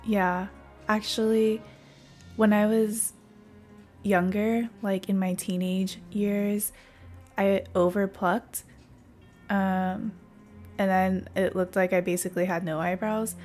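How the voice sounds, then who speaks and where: A young woman with a slightly hoarse voice, chatting casually and playfully as she livestreams a game. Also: medium pitch, flat delivery, drawn-out words, pauses often, darkish tone, soft background music. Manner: playful, rambling. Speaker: VTuber streamer.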